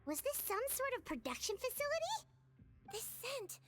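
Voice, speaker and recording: A woman's voice: very high voice, sing-song, moderate pace. A woman voicing a small, childlike head nurse in a video game, girlish, sweet and earnest. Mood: curious, thoughtful.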